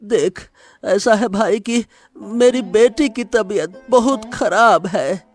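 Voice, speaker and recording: Slow, pleading village brother played by a man. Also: high-pitched, faint music behind. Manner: drawn-out cajoling.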